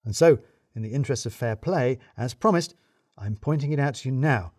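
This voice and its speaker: A man reading a scripted comic mystery in the first person, with wry, theatrical emphasis and deliberate pauses. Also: medium pitch, lively intonation, a little mellow, no echo, clean studio sound. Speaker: audiobook reader narrating in first person as an office worker.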